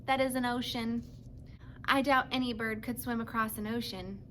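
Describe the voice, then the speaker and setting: A woman voicing a patient, protective robot mother who speaks in precise, formal, measured sentences for a children's storybook. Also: on the high side, steady tone, a bit bright, a little hiss or hum, lightly acted. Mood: matter-of-fact.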